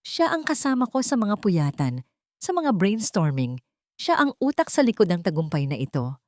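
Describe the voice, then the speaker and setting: A woman's voice: mid-range voice, big pitch swings, normal speed, a little mellow, no echo, clean studio sound, natural voice. Woman voicing a boastful Filipino businessman making a speech. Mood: proud, showy. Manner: proud, declamatory. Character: boastful, self-satisfied successful businessman giving a speech.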